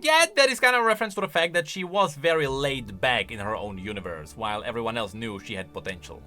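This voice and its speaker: A man's voice: mid-range voice, lively intonation, fast talker, sharp tone, faint music behind. A man giving casual, enthusiastic reaction commentary, explaining game lore as he goes.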